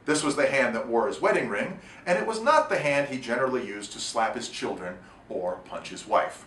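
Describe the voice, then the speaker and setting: A man reading his own short story aloud in an expressive, wry and deliberate storytelling manner. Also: medium pitch. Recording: echoey room, distant mic, faint noise.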